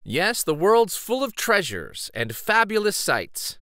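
A man in his forties reading a children's picture book aloud in an expressive, gentle storytelling way. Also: high-pitched, slow pace, no echo, studio quality. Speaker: children's story reader and host.

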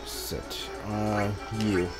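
Slow-talking man muttering through a video game. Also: fairly low pitch, level tone. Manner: muttering his moves aloud, thinking as he goes. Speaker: gamer playing through a role-playing game. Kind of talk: casual gameplay commentary. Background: game music.

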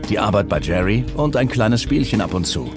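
An actor playing a reckless, rueful ex-convict gambler in a first-person documentary voice-over. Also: male voice, fairly low pitch, sing-song, fairly quick. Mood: matter-of-fact, self-justifying. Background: music.

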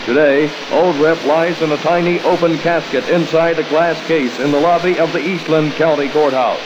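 High-pitched American radio newsman, noisy old broadcast. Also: fairly even intonation, moderate pace. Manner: formal announcer delivery. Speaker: radio newscaster. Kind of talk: scripted radio news and weather bulletin. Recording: noisy 1960s AM radio aircheck.

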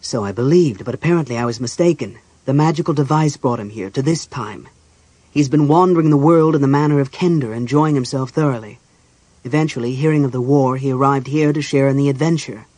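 A man playing a cold, controlled, scheming archmage in a fantasy audiobook, speaking in a measured, formal, commanding way. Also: medium pitch, a little mellow, dry recording, close to an everyday voice. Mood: persuasive, grave.

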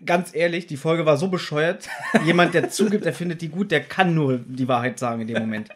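A man's voice: fairly high pitch, brisk. A man co-hosting a podcast in casual, conversational discussion. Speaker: podcast co-host.

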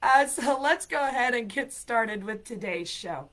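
Raspy-voiced chatty woman with a cold. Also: fairly high pitch, unhurried. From United States. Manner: animated, chatty and playful. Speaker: ESL program coordinator and show host. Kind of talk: livestream talk and storytelling. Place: decorated home room. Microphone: laptop webcam, video call framing.

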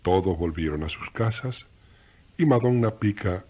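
A man's voice: low-pitched, expressive, a little slow, slightly rough, muffled recording, heavily acted. A man narrating a radio drama as a scripted storyteller.

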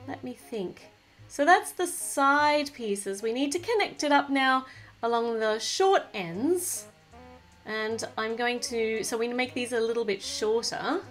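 A woman's voice: on the high side, measured pace, a bit bright, faint music behind. A woman casually narrating a toy build at a table, thinking aloud and gushing over the cute little details.

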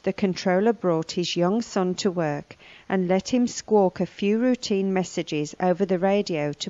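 Lower-voiced woman reading, slightly dull sound. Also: a little mellow, no room sound. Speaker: language lesson presenter. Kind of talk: scripted lesson narration, reading a news report.

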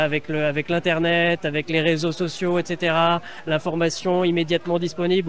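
A middle-aged man giving an interview comment outdoors at a fair. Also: fairly high pitch. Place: outdoors under a canopy at a crowded fair.

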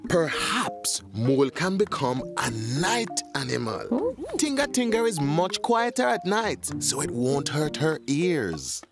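A man voicing a courteous, good-natured tortoise in a children's cartoon, polite, drawn-out and theatrical. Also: a little high, big pitch swings, creaky, music in the background, full character voice.

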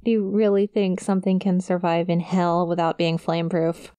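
A woman's voice: lowish voice, little pitch movement, normal speed, mellow, clean tone, no room sound, clean studio sound, natural voice. A woman playing a friendly, awkward young succubus demon in an intimate ASMR roleplay, with a shy, casual, hesitant manner. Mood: matter-of-fact, amused. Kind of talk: ASMR roleplay, intimate one-sided conversation to the listener.

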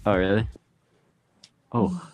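A young man on a webcam chatting slowly and with amusement. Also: fairly low pitch, very animated, faint music behind.

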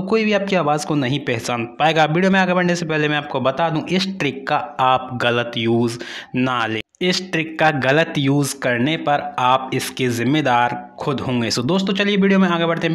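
A man's voice: fairly high pitch, fairly bright tone. An Indian man hosting a tech channel, giving a step-by-step app tutorial in voice-over and addressing viewers directly as friends.

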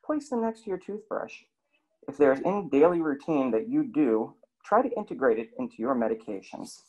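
A man's voice: medium pitch, expressive, fairly quick, darkish tone, low-quality recording. A man reading a scripted health lesson for a clinic slideshow, explaining steadily and putting questions to the listener.

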